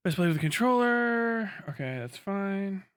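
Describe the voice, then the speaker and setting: A man with a slightly high, forceful bright voice talks to his stream in a loose, rambling way. He is casual and sweary, with a joking bent, and the sound is dry and close. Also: expressive, measured pace, smooth, dry recording. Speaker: livestreamer. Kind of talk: casual live-stream chat with viewers.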